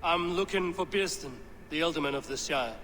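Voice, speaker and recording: An actor playing a stern, wary Viking warrior in a video game, speaking in a measured, blunt way. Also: male voice, a little high, bright, forceful tone. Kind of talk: video game dramatic acting. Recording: clear background noise and ambience.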